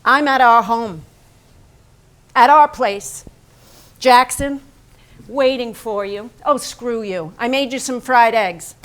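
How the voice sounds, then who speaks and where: Painter's wife, reproachful and fiercely devoted, higher female voice, unhurried biting theatrical monologue. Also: fairly bright tone. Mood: exasperated. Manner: deliberate, incantatory, biting. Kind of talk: theatrical staged reading, monologue.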